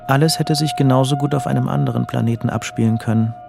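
Gravelly low male narrator over music. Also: steady tone, fast. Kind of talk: scripted literary radio play narration.

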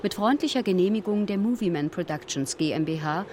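A woman's voice: on the low side, expressive, brisk, noisy background. A woman giving scripted narration for a radio play.

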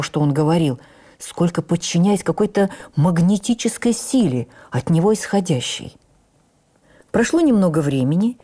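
An actress playing a stage actress who recalls her youth with admiring wonder, in measured, literary, expressive radio narration. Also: fairly low pitch, husky. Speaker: actress reading a memoir on radio. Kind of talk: dramatic first-person memoir narration for radio.

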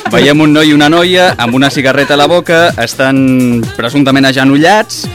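A man's voice: medium pitch, normal speed, a bit bright, soft background music. A man hosting a radio talk show, steadily introducing and describing news items for the panel.

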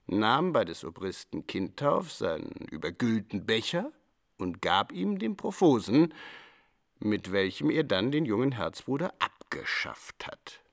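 Swaggering robber soldier, swooping pitch. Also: male voice, creaky. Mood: boastful, scheming. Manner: declamatory, swaggering, archaic phrasing. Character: brazen, cynical male robber and soldier, scornfully self-justifying. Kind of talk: German radio play acting.

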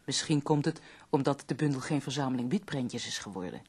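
A breathy, husky female voice plays a nineteenth-century lady visitor in a radio drama. She is formal and measured, loyal and sympathetic in her manner. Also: a bass voice, animated, darkish tone, full character voice. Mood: gently wry. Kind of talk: Dutch radio play acting.

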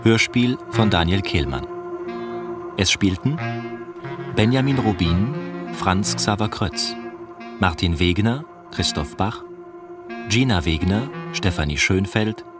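Deep, slow male radio announcer over music. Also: expressive. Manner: formal, measured. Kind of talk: scripted radio play credits announcement. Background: brass music.

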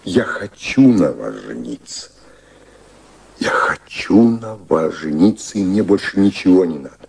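An older, high-ranking gentleman in a radio drama, devoted and proper. His low voice is husky and swings widely in pitch. He speaks in a courtly, halting way, earnestly repeating his phrases. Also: animated, heavily acted. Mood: pleading, reassuring. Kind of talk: radio play acting.

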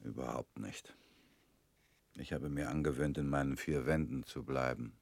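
A very deep-voiced reclusive ex-defendant. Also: male voice, moderate pace, gravelly, no room sound, heavily acted. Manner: calm, quiet, guarded. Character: withdrawn former political defendant, reclusive man. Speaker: actor in radio drama. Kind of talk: radio play acting.

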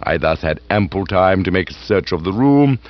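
A man reading aloud voices a calm, deferential male valet who speaks in a measured, formal way. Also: low-pitched, no echo. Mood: explaining. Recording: dull, dry recording.

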